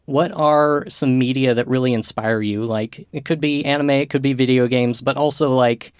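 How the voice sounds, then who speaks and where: A man hosting a podcast interview, asking questions in a relaxed, measured way with some "uh" fillers. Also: mid-range voice, smooth, no echo, studio quality. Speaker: podcast host.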